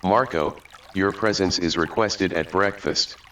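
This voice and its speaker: A man doing a deep, formal palace servant. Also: a little slow, far from the mic, a little hiss or hum. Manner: flat, formal summons.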